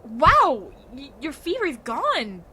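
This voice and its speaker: An excitable, bossy teenage schoolgirl played by a woman in an anime-style audio drama. Her voice is extremely high and bright, swinging widely with feeling. She sounds anxious for her friend. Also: slow, full character voice. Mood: baffled, hesitant.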